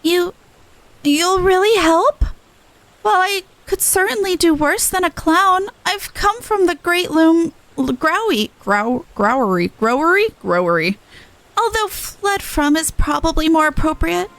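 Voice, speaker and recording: A woman's voice: very high-pitched, sing-song, unhurried, a bit bright, dry recording, pitched above the performer's own voice, full character voice. A woman voicing an anxious young female botanist from a video game, sounding flustered and wary. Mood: wary, shaken. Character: anxious young lady botanist, a farm worker.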